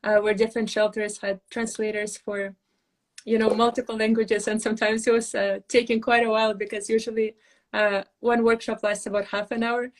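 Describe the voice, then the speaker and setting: A young woman from Moldova, a pageant titleholder, chatting earnestly on a live video call with soft laughs and fillers. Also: on the high side, flat delivery, a little fast. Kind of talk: casual live video chat.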